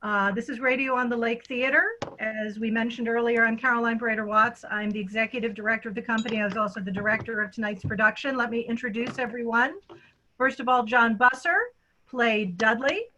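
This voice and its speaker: A woman's voice: a little high, steady tone, a little fast, sharp tone, muffled recording, slight background noise. A middle-aged woman, a theatre director, hosting an online event with introductions and thanks. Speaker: theatre company director and host.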